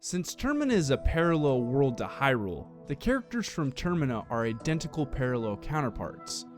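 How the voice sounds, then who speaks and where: A man reading a scripted video-essay narration in a measured, explanatory, argumentative manner. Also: mid-range voice, sing-song, music in the background.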